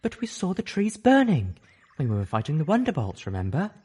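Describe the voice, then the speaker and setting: A man voicing a refined, fragile unicorn mare healer who speaks softly and pleadingly. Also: fairly high pitch, wildly swooping pitch, brisk, breathy edge, pitched above the performer's own voice, heavily acted. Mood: desperate. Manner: soft, pleading.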